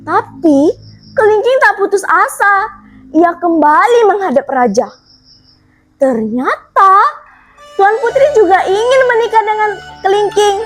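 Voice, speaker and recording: Very high-voiced woman telling a folktale. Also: measured pace, pauses often, faint music behind. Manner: expressive, dramatic. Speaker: storyteller. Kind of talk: theatrical folktale narration.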